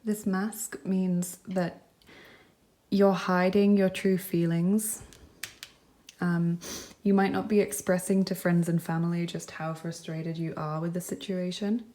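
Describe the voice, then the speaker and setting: A woman with a lowish, mellow voice talks through a tarot and charm reading. She speaks gently and reassuringly, musing aloud with frequent ums and likes. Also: flat delivery, slow. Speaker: tarot reader. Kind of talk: pick-a-card tarot reading.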